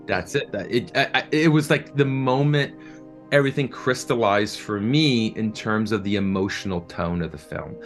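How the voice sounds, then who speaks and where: A man with a fairly low voice gives a reflective interview as a producer. He speaks earnestly and with enthusiasm, often doubling back on words while he searches for the right phrase. Faint music plays behind him. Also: moderate pace. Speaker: audio drama producer being interviewed.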